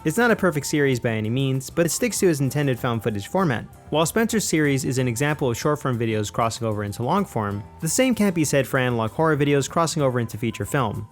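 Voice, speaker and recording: A man narrating a scripted video essay of film criticism in a measured, conversational, opinionated way. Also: mid-range voice, sing-song. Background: background music.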